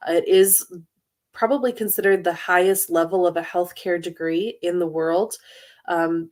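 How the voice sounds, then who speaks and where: A woman presenting in a webinar about study programs in an informative, steady way, with frequent hesitation fillers, over a computer mic. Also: lowish voice. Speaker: university international student services director, presenter.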